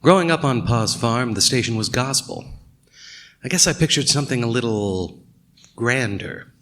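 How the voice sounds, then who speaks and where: A man's voice: mid-range voice, animated, normal speed, a bit bright, natural voice. A man playing a wry, brooding small-town detective, narrating an audio drama in a dry, hard-boiled manner. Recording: clear background noise and ambience.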